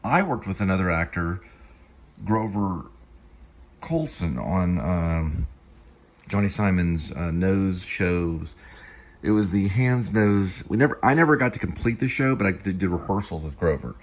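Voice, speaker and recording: A man in his fifties with a very low, husky voice hosts a casual vlog. He rambles and digresses, halting and restarting his phrases as he goes. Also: animated. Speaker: show host, actor and teleplay writer.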